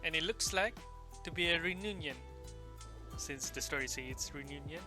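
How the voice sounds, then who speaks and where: A man's voice: on the high side, moderate pace. A man whose first language is not English, giving chatty, self-deprecating commentary on a video game he is playing. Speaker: gamer. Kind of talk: casual let's-play commentary. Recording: loud game music behind.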